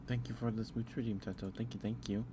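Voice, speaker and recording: A soft-spoken man chatting casually and intimately with viewers on an ASMR livestream. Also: lowish voice, level tone, fast, heavy background noise.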